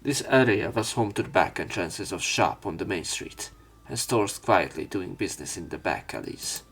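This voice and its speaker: A man's voice: deep voice, lively intonation, normal speed, a bit bright, breathy edge. A man reading a visual novel aloud as a let's play narrator, dramatizing the script. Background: background music.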